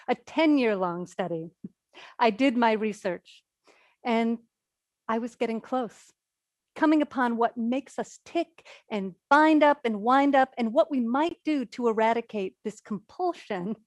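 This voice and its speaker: An actress playing an obsessive, self-important scientist in a staged reading, delivering a theatrical, boastful, deliberate monologue. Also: female voice, a little high, no echo. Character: obsessive, self-important woman stress scientist addressing the audience.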